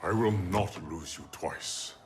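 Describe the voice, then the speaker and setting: An actor playing a proud, stern warrior emperor with a formal, solemn delivery in a video game cutscene. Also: male voice, deep voice, slow pace, noisy background. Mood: grave. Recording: dramatic music behind the voice.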